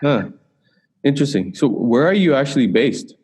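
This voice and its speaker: A man's voice: mid-range voice, sing-song, normal speed, a little mellow, slightly rough, big-room sound. A man from Bermuda hosting a podcast, asking questions in a casual interview style. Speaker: podcast host.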